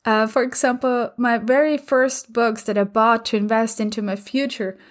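A woman's voice: a little high, fairly even intonation, normal speed. Reflective woman hosting a podcast. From Austria. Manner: reflective, many fillers. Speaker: podcast host. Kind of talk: solo podcast monologue.

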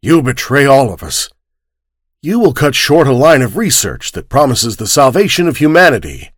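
Older obsessive man, intense and desperate, voiced by a male narrator, lively swings, dry sound. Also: mid-range voice, measured pace, breathy edge, no room sound, exaggerated voice. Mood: intense pleading.

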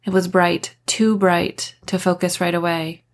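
A woman reads a story aloud in audiobook style. Her voice sits a little low, and she delivers scripted first-person narration as the author of the book. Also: level tone, unhurried. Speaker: author reading own novel, podcast host.

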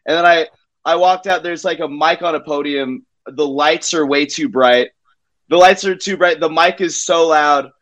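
A man telling a story as a guest comedian on a casual podcast, halting and repeating himself as he acts out exchanges. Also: fairly high pitch, a bit bright, poor audio.

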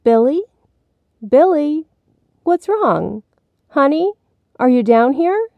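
A woman voicing a gentle, concerned mother in a storybook, reassuring a child in a soothing manner. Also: high-pitched, sing-song, slow pace, creaky, no room sound, narrow, tinny audio, pitched above the performer's own voice, heavily acted.

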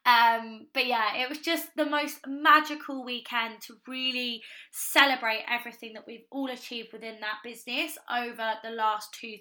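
Young female podcast host, higher voice with a sharp, bright edge, a touch croaky, chatty and effusive. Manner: upbeat, gushing, with frequent ums and "you know". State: slightly croaky voice after a party. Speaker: podcast host and business coach. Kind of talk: casual solo podcast talk.

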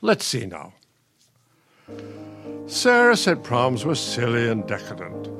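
An actor playing a patient, nostalgic older husband in a radio play, gentle and reflective. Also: male voice, medium pitch, huge pitch swings, slow pace, over music, full character voice. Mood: wistful. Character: patient, nostalgic elderly husband, soothing and wistful.

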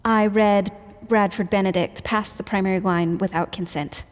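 A woman's voice: mid-range voice, steady tone, moderate pace, lightly acted. A woman playing a guarded, candid witness under questioning in an audio drama, answering tersely and carefully. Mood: contrite, composed. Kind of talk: fiction podcast audio drama. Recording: echoey hall with long reverb.

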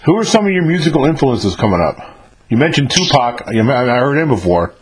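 Lively New radio host. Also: male voice, medium pitch, a little fast, a little hiss or hum. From New York. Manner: chatty and encouraging. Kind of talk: casual interview.

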